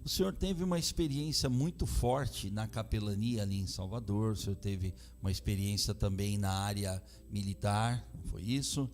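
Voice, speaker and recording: A man's voice: fairly low pitch, moderate pace, few pauses, a little mellow. A man hosting as a pastor and interviewer, often interjecting agreement and asking his guest questions. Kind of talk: conversational interview.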